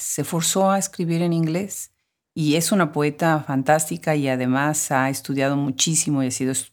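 A woman's voice: deep voice, a little mellow. A woman hosting an interview, asking long, winding questions in an engaged, conversational way. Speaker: interviewer, host.

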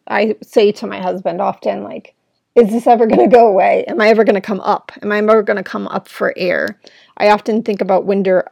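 Gravelly-voiced woman podcaster, smoke-sore throat. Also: medium pitch, a little mellow, no echo. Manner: earnest, rambling, with frequent "right?". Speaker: podcast host. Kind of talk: reflective solo podcast talk. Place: at home indoors.

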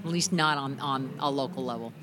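A middle-aged woman with a deep voice, a musician guest chatting loosely in an interview, full of restarts and "you know". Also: a little fast, a crowd in the background. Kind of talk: casual interview chat.

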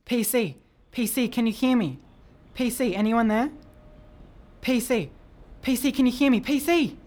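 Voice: medium pitch, unhurried, darkish tone, faint music behind, lightly acted. An actor playing an adventurous, determined boy hero, bossy and earnest, in a radio drama. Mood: urgent.

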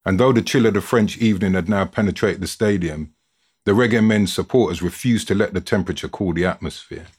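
Male narrator, low and husky, expressive storyteller reading from a script with drama. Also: brisk. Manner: expressive, dramatic delivery with built-up lists. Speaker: reader, narrator of a written football story.

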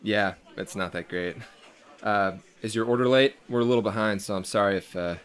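A man's voice: lowish voice, a little fast, clean tone, natural voice. A man acting a frazzled, defensive young restaurant worker in a scripted read, rambling and stammering with sarcasm. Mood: awkward, deflated. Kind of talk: acted script table read.

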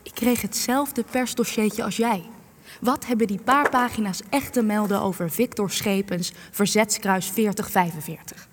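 An actress playing a sharp, cynical young female journalist in a radio play, biting and sarcastic. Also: medium pitch, moderate pace, a little hiss or hum, close to an everyday voice. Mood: suspicious, combative. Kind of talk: radio play acting in Dutch. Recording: long hall reverb, music behind.

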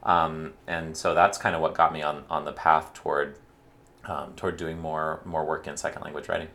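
A middle-aged male lecturer with a very low, deep voice talks through his research in a casual podcast conversation. He hesitates a lot, filling gaps with ums and restarting his sentences. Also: flat delivery, moderate pace, pushed and bright. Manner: hesitant, many fillers and restarts. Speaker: university lecturer. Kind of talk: casual academic podcast chat.

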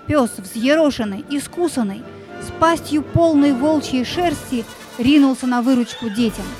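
A woman narrating a children's story in an expressive, suspenseful, dramatic scripted style. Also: high-pitched, moderate pace, over music.

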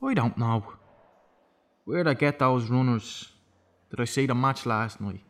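A young male prisoner in an audio drama. He is guarded and evasive, deflecting with dry sarcasm in a naturalistic delivery at an everyday middle pitch. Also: measured pace, fairly bright tone. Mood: dry, sardonic. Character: guarded, sardonic young man in prison for killing.

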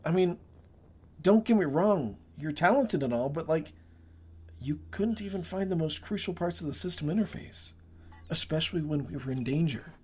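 A woman's voice: deep voice, lively intonation, moderate pace, a little mellow, husky. A woman playing a cocky, blunt young starship pilot in an audio drama. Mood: argumentative.

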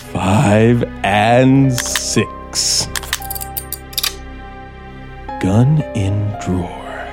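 An actor playing an embittered scriptwriter in a 1950s radio thriller, theatrical and mockingly polite as he coolly plots murder. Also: lowish voice. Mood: sardonic, deliberate. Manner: theatrical, deliberate, mockingly polite, narrating his own actions. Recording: vintage 1950s radio broadcast with music.

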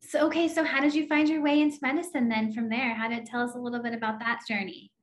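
A woman's voice: fairly high pitch, flat delivery, quick pace. A woman interviewer asking brief, encouraging follow-up questions. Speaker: interviewer, host.

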